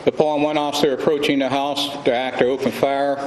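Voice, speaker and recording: An American man giving a formal police briefing as chief, speaking gravely and deliberately. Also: medium pitch, level tone. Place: press conference room. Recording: echoey room, clearly noisy audio.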